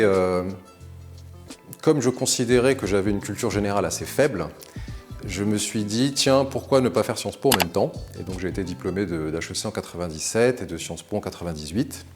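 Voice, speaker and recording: A man in his forties who grew up in Morocco, telling the story of his studies and career in a measured, articulate way, with frequent hesitations. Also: lowish voice. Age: 40s. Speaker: interview guest. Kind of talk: recounting his career to camera.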